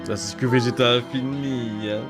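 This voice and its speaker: A man chatting casually and excitably in slang as he reacts live to an anime. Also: mid-range voice, moderate pace, music in the background. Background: anime soundtrack and dialogue.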